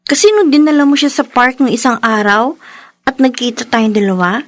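A woman with a Filipino accent playing a concerned, inquisitive friend in a radio drama, gentle and questioning. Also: on the high side, normal speed, no echo, natural voice. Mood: concerned, curious.

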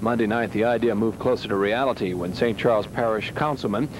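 A man narrating a scripted local television news report as a reporter. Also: fairly quick. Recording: clear rumbling background noise.

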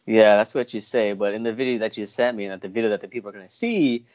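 A man's voice: lowish voice, lively intonation, fast talker, a little mellow. A young man hosting a casual interview over a video call, informal and somewhat hesitant as he talks. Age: young adult. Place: indoor room at home. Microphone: wired earphone mic on video call. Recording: dull, muffled video-call audio.

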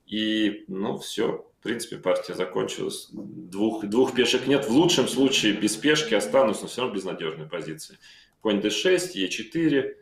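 A middle-aged man talks from a room at home over a video call, his voice lowish and the sound roomy. He goes through chess moves calmly and reflectively, thinking aloud with frequent fillers. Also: moderate pace, creaky. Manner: calm, reflective, calling out moves with many fillers. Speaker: chess player recalling his own game. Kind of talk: analytical interview talk. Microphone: video call microphone.

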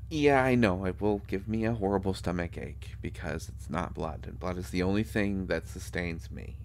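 A man playing a caring, dryly teasing vampire doctor in an intimate audio drama, talking softly and hesitantly to a friend. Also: low-pitched, a little hiss or hum. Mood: playful teasing. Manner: soft, unhurried, hesitant, gently teasing. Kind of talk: intimate roleplay audio drama, casual one-sided dialogue.

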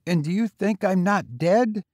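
Guy putting on a high husky teenage tramp boy, small and frail, knowing, chatty, weary, storybook style. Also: a little slow, a little mellow, dry recording, clean studio sound. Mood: insistent, fatalistic. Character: frail undersized eighteen-year-old homeless boy, knowing, talkative, weary.

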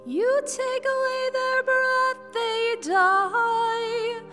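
A woman cantor singing a psalm at a church lectern with slow, sustained liturgical phrasing. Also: extremely high pitch, little pitch movement. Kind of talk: sung responsorial psalm. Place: large church, indoors. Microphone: lectern microphone. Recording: long church reverb, loud organ.

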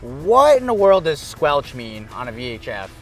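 A man's voice: medium pitch, sing-song, moderate pace, soft background music. A man in his thirties hosting casually, presenting outdoors on a lapel mic. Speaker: host. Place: outdoors at a marina dock. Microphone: clip-on lapel mic.

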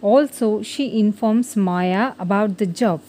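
A woman's voice: medium pitch, slow pace, warm tone. A woman reading a scripted moral story for children as its narrator.

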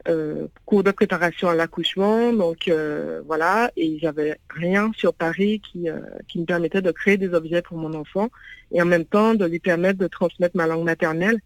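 A woman's voice: on the low side, normal speed, dry recording. A woman whose first language is English, speaking as a guest over the phone and hesitating with lots of fillers. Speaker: guest, founder of a parents' venue. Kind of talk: phone interview. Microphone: phone call.

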